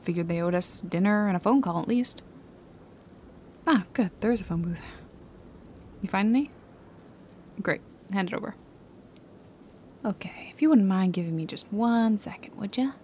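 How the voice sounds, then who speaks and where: A woman's voice: medium pitch, moderate pace, pauses often, a little mellow, a little hiss or hum, natural voice. A woman playing a sarcastic female private detective in a noir ASMR roleplay, with a dry, casually streetwise delivery. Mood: teasing, wry. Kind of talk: noir ASMR audio roleplay, intimate second-person acting. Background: music, rain and city ambience.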